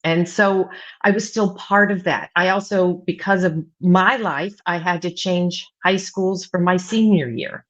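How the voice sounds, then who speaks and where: Woman, middle-aged, fairly low voice, rambling with fillers, dull lo-fi recording. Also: measured pace. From United States, mostly Virginia Beach. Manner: rambling with many fillers and restarts. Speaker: writing coach and author, guest. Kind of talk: casual interview talk.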